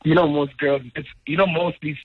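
A South African man, an actor, speaking enthusiastically and haltingly as a guest on a casual radio phone interview. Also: fairly high pitch, steady tone, breathy edge, no room sound. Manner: enthusiastic, repetitive, halting phrasing. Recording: dull, dry phone line.

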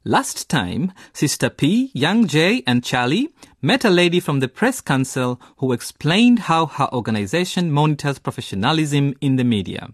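Lively male radio drama narrator. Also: fairly high pitch, normal speed, hardly any pauses, no room sound, clean studio sound. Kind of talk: scripted narration.

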